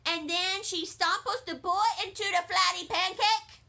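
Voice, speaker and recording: A woman plays an excitable little girl in a children's storybook. The voice is very high, bright and forceful. She speaks in emphatic, childish sentences, indignant and insistent, repeating herself. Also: a little slow, gravelly, exaggerated voice. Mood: gleeful, vengeful. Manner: childish grammar, emphatic, breathless repetition.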